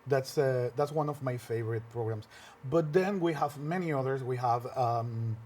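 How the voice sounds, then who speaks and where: A man whose first language is Spanish speaks in an earnest, conversational way. He pauses often and restarts his sentences. His voice sits at a middle pitch, and faint noise hangs behind it in the studio. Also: a little mellow. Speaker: filmmaker and workshop teacher. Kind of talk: conversational interview answers.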